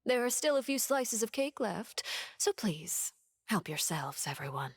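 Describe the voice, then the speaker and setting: A woman's voice: on the high side, sing-song, a little slow, breathy edge, heavily acted. An actress playing a coolly polite noblewoman interrogator in a game, formal and silky with veiled mockery. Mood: mocking. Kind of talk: anime-style game voice acting.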